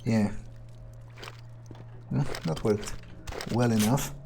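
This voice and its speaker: A man's voice: mid-range voice, fairly even intonation, very slow, vocal fry, background noise. A man playing a callous, darkly amused vampire, musing hesitantly to himself as in-character video game commentary. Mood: musing, searching.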